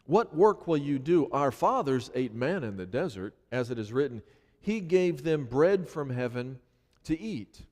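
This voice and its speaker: A man preaching a sermon in a church, lively and earnest, often restarting his phrases. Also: medium pitch, a little slow. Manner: animated, frequent repetitions and restarts. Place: church sanctuary. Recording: long hall reverb.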